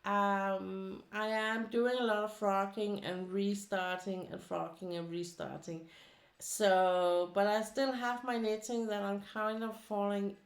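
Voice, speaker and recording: A woman's voice: medium pitch, level tone, slow. Danish woman rambling in an echoey room. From Denmark. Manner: rambling, with many fillers and restarts. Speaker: streamer. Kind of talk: casual chat while crafting.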